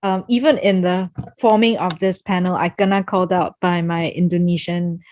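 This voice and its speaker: A woman's voice: lowish voice, steady tone, normal speed, darkish tone. A woman with a Singaporean accent speaking reflectively as a panel moderator on a video call, hesitantly thinking aloud.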